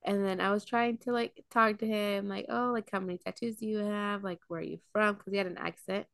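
A woman's voice: mid-range voice, fairly even intonation, fairly quick, vocal fry, fairly bright tone, no echo, clean studio sound. A young woman co-hosting a casual podcast, telling stories between friends, full of "like" and "um," with a cold coming on. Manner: chatty storyteller, lots of "like" and "um". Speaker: podcast co-host.

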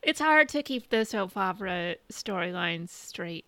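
A woman podcast co-host chatting casually in a halting, conversational way, recapping what she has been watching. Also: medium pitch, animated, fairly bright tone, dry recording. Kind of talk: casual chat, recapping shows and films.

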